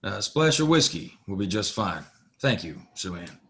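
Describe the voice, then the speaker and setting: A man's voice: lowish voice, big pitch swings, normal speed, fairly bright tone. A man playing a polite, modest cowboy stranger in a western audio play, courteous and understated.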